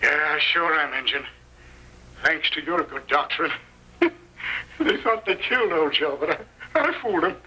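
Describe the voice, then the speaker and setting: A spirited old prospector, high-pitched and raspy, talking in folksy rustic American. The voice is very sharp, on a tinny vintage radio recording. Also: male voice, unhurried, pauses often, exaggerated voice. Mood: spirited, affectionate. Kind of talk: old-time radio Western acting.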